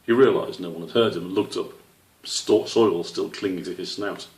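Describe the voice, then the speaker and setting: Low, creaky man reading a children's story. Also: normal speed, a little mellow. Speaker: author reading his own book. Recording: roomy echo, distant microphone.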